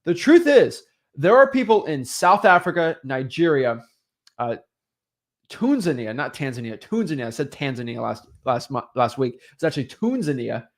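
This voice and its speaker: Animated young man coaching with emphasis. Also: medium pitch, normal speed. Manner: emphatic, rhetorical questions, frequent "right?" and "okay?". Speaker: online real estate coach. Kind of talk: motivational teaching talk.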